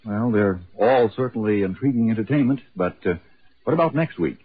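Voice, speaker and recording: A male radio announcer chats in 1940s broadcast style. His voice is fairly low and husky, and the old recording is thin and band-limited. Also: expressive, brisk, narrow, tinny audio. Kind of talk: 1940s radio host banter.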